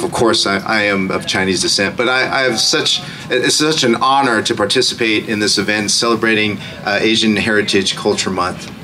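A man's voice: fairly low pitch, bright, forceful tone. An American man co-hosting a public event, speaking into a stage microphone at an outdoor festival. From Sacramento, California. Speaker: event co-host and local official. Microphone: stage microphone through PA speakers. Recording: noisy outdoor PA sound.

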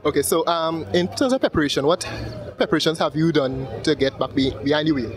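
A man interviewing guests at an outdoor event, asking quick upbeat questions. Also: fairly high pitch, music in the background. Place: outdoor rally paddock. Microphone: handheld mic. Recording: outdoor event with crowd noise.

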